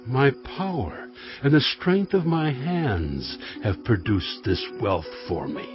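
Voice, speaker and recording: A man's voice: mid-range voice, lively intonation, takes it slowly. An actor playing a proud, self-satisfied Israelite man in a dramatised scripture production. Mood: smug. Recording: dull band-limited audio over music.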